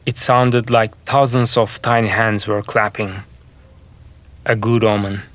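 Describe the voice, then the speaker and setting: A man with a fairly low voice tells a scripted fantasy story in the first person. He goes very slowly, with deliberate phrasing broken into short segments. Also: fairly even intonation, a bit bright, no echo.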